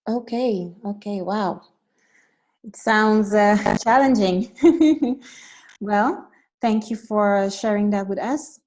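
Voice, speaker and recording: A woman hosts a casual interview in a friendly but hesitant way, pausing on fillers between her questions. Her pitch sits in a middle range. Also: a little mellow. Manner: friendly, hesitant, with many fillers and small laughs. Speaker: interview host.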